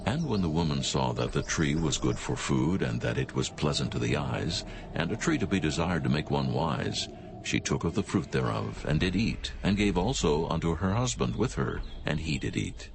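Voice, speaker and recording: Very deep, gravelly male narrator. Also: animated, background noise. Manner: formal, measured. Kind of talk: solemn scripted scripture narration.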